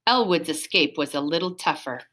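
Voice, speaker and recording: A woman's voice: sits low, animated, a little fast, a bit bright. A woman reading a children's story aloud as scripted narration, expressively, with dramatic emphasis. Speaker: storybook reader.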